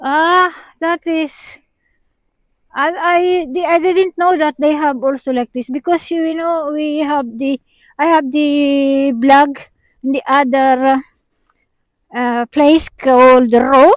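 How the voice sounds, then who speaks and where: A woman's voice: high-pitched, fairly even intonation, no room sound, poor audio. Chatty Filipino woman vlogging outdoors. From Philippines. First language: Tagalog. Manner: chatty, halting, mixes in Tagalog, laughs often. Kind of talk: casual travel vlog walking tour. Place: outdoors by a windy harbor.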